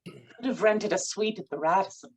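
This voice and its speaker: A woman's voice: medium pitch, expressive, normal speed, breathy edge. A woman playing a poised, romantic professional, patient but pointed, in a screenplay table read. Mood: hurt, pointed.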